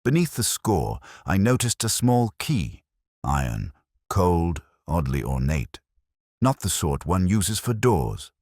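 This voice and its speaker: A man reading a first-person detective story as narrator, in a measured, brooding, reflective way, close to a studio mic. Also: deep voice, very animated, a little mellow, no room sound.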